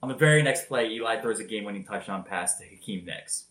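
A man telling football highlights in a casual podcast chat, energetic and loud-voiced. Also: quick pace, roomy sound. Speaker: podcast co-host. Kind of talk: casual sports recap.

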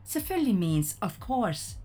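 A woman's voice: medium pitch, big pitch swings, measured pace, background noise. A woman playing a cheerful, chatty spaceship AI in an audio drama, polite and formal. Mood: chatty, informative. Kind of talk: scripted audio drama acting.

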